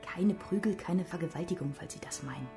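A woman's voice: lowish voice, fairly quick, gravelly, dark tone, faint music behind. An actress playing a resigned, frank female hostage in a radio drama, speaking in a subdued, plain way.

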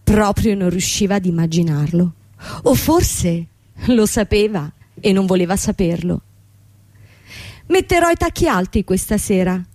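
An actress playing a vain, aloof young company director in a radio drama, detached and offhand in manner. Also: female voice, mid-range voice, animated, unhurried, warm tone, no room sound, studio quality. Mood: cool, evasive. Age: young adult. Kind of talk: theatrical radio drama acting.

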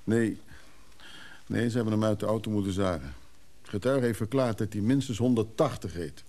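An actor playing a weary, solicitous antiques dealer in a Dutch radio drama, speaking in short, hesitant sentences. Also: male voice, on the low side, moderate pace, mellow, close to an everyday voice. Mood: sober, downcast. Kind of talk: naturalistic Dutch radio play acting.

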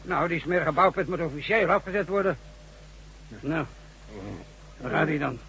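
A rough-and-ready building site foreman in a radio play. His voice is gravelly and forceful, fairly high for a man, and he talks bluntly like someone used to giving orders. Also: moderate pace, a little hiss or hum, rough recording. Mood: concerned. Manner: blunt, colloquial, commanding. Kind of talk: radio drama acting.

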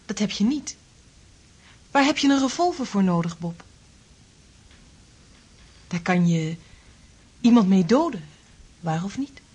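Affectionate woman, very husky and breathy, coaxing lover, practical, radio play acting. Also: medium pitch, lively intonation, a little slow, long pauses, darkish tone. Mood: questioning, concerned.

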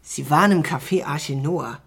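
Husky, eager junior detective. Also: male voice, on the high side, normal speed, a bit bright, heavily acted. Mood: speculating. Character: eager, puzzled male junior detective colleague. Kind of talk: German radio crime drama acting.

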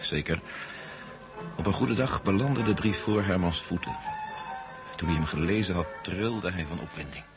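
Radio play narrator: actor as wry male storyteller, deep low voice, clear music underneath. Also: moderate pace, pauses often, natural voice. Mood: engaged. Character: male storyteller recounting a romance to friends. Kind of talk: dramatised storytelling in radio drama.

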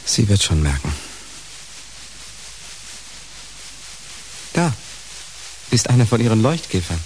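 An actor playing a shy, hesitant man who speaks haltingly in a naturalistic radio play. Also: on the low side, big pitch swings, a little mellow, slight background noise, rough recording. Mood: resigned. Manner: halting, drawn-out.

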